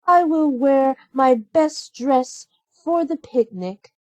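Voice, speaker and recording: A woman voicing a sweet, cheerful, dutiful young heroine in a storybook, gentle and wishful in manner. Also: high-pitched, slow pace, no room sound, voice pushed up. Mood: happy hopeful. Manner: gentle, bright-hearted, wishful.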